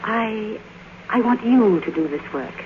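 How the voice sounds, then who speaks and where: A woman's voice: a little high, expressive, unhurried. An actress playing a proud, imperious faded silent-film queen in a 1950s radio drama, with grandiose, theatrical delivery. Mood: insistent, commanding. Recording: muffled, noisy vintage radio broadcast.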